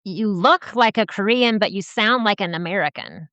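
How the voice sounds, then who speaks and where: A woman's voice: medium pitch, lively intonation, fairly bright tone, no echo, highs cut off. A woman co-hosting a podcast, upbeat and welcoming in casual interview chat. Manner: upbeat and welcoming, quick to laugh. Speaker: podcast co-host.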